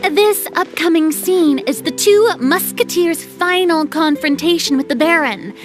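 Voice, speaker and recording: A woman's voice: very high voice, measured pace, soft background music. A woman playing a confident, upbeat film director in a game cutscene, commanding and theatrical.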